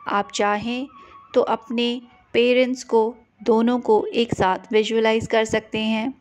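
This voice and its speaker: Slow, gentle woman guiding meditation. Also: on the high side, level tone. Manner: slow, gentle, repetitive phrasing. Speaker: meditation guide. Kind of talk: guided prayer meditation.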